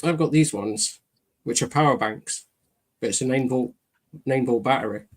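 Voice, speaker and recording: A man's voice: mid-range voice, normal speed. A middle-aged man who is a guest on a podcast, chatting casually and asking lots of questions. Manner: inquisitive, asks lots of questions. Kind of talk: casual chat.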